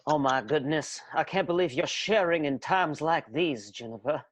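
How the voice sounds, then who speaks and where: Apologetic, uneasy strongman with mustache, played by a man: lightly high, polite, fussy radio-play voice. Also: moderate pace, background noise, lightly acted. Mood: uncomfortable. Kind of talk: improvised radio soap opera acting.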